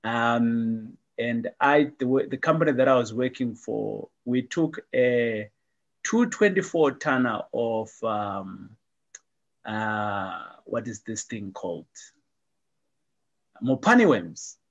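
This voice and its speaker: A man's voice: mid-range voice, slow pace, lo-fi sound. A middle-aged man hosting a casual interview over a video call, upbeat and repeating friendly phrases. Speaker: host.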